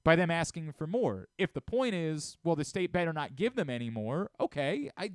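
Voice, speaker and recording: Clear-voiced man hosting sports talk radio. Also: a little high, sing-song, normal speed, no echo, clean studio sound. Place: radio studio.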